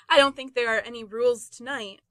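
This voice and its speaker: An actress playing an encouraging, romantic young woman, speaking gently in a modern radio play. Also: fairly high pitch, steady tone, moderate pace, dry recording, clean studio sound, natural voice. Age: young adult.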